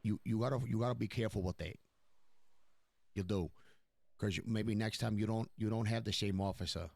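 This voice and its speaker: A man's voice: on the low side, fairly even intonation, a little fast, gravelly, a little mellow, dry recording. A man playing a stern, blunt, profane mafia boss in an improvised online game roleplay. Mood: stern warning. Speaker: streamer role-playing a crime family boss.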